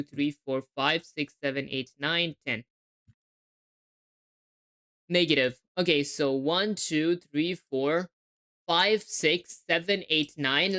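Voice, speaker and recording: A woman's voice: low-pitched, fairly bright tone. A young woman with a hard-to-place hybrid accent, live coding and thinking aloud, spelling words letter by letter and chatting with viewers. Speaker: livestreaming coder.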